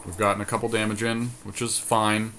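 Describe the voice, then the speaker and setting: Man, lowish voice, casual game commentary, informal, narrating moves aloud, podcast co-host, background noise. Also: flat delivery, fairly quick, a bit bright.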